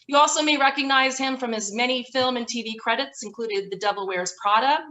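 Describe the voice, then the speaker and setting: A woman with a fairly high, very bright voice reads a prepared introduction for a virtual event. Her delivery is brisk and moves through items like a list. She is speaking over a video call. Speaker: event organizer introducing the guests.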